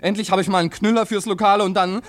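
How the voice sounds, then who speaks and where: An actor playing an excitable, bossy local newspaper editor in a German radio play, blustering and impatient, often stopping and starting as he thinks aloud. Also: sits high, very fast, lightly acted. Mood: indignant, whining.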